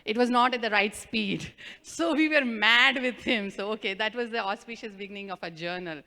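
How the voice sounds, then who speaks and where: A woman telling a devotional group a personal story, animated and self-mocking, with a loud voice and frequent laughter. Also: medium pitch, moderate pace. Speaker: devotional speaker. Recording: reverberant hall.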